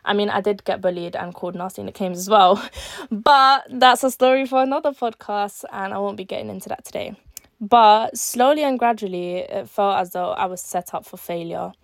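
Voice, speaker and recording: A woman, hosting her own podcast and reading an earnest, measured script that trails off quietly at the ends of lines. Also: medium pitch, vocal fry, dry recording, clean studio sound. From born in Algeria, raised in East London. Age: late teens. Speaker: podcast host. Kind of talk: scripted solo podcast monologue.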